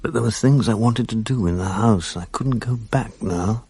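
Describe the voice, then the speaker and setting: An actor playing a bitter, sardonic middle-aged husband with a British accent in a radio drama, his voice husky. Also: on the low side, moderate pace, a little mellow. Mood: dry narration. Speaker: lead actor and narrator.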